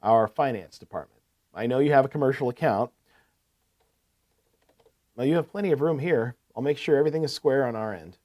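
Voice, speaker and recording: Mellow, courteous salesman acted by a man. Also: mid-range voice, brisk, pauses often, natural voice. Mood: businesslike, helpful. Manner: salesmanlike, attentive, asks probing questions. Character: courteous, eager male heavy-equipment salesman. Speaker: equipment sales rep. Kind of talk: scripted business sales call role-play.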